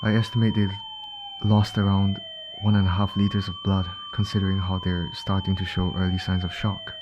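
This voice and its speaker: A man's voice: sits low, monotone, moderate pace, noisy background, natural voice. A man acting a caring field medic in an ASMR roleplay, speaking directly and reassuringly to an injured patient. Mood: brisk, worried. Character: male field medic, calm, caring, urgent under pressure.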